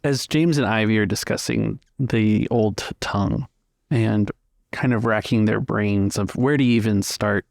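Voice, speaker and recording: A man acting as game master, setting tabletop roleplay scenes in phrases with pauses, over guitar music. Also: on the low side, creaky, darkish tone, no room sound, clean studio sound. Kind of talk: improvised present-tense scene narration for tabletop roleplay. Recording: acoustic guitar music behind voice. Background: plucked guitar music.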